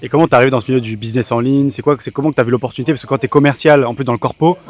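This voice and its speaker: A man's voice: mid-range voice, fairly even intonation, rapid-fire. A young man conducting a casual interview with informal, slangy phrasing.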